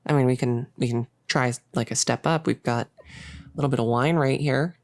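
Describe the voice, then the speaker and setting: A woman playing a playful, doting young cultist in an intimate roleplay monologue, chatty and halting as she teases and coddles. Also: a bass voice, fairly even intonation, darkish tone, slight background noise. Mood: curious, rambling.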